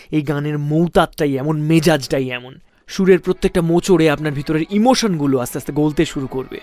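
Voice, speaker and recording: High-pitched man hosting radio. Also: a little mellow. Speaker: radio show host. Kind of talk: dramatic anecdotal storytelling for a music radio show.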